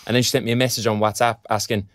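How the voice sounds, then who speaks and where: A man's voice: medium pitch, level tone, rapid-fire, studio quality, natural voice. Guy playing young irish grad, teasing and encouraging, chatty audio drama, no room echo. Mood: fond, amused. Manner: colloquial, bantering. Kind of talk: naturalistic audio drama dialogue.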